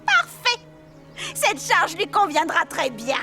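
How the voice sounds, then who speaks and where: A spoiled, cruel bride in a cartoon fairy tale. She is extremely high and piercing, swooping theatrically through petulant lines. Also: sing-song, slow, bright, forceful tone, soft background music, full character voice. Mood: calculating. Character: haughty, scheming false bride, spoiled and cruel. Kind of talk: French cartoon dubbing.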